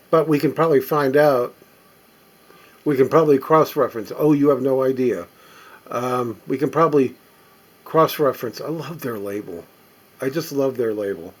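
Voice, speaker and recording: A mature man talks casually through items in his collection, unscripted. His mid-pitched voice is a little husky and unclean in tone. He muses aloud in a halting way, as if inspecting each thing while he speaks. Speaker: record collector presenting finds. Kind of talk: casual unscripted show-and-tell commentary.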